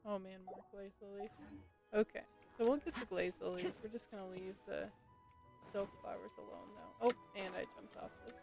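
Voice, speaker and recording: Creaky-voiced woman streaming a game. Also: medium pitch, fairly even intonation, normal speed. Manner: muttering to herself, thinking aloud. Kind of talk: casual live gameplay commentary. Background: video game music.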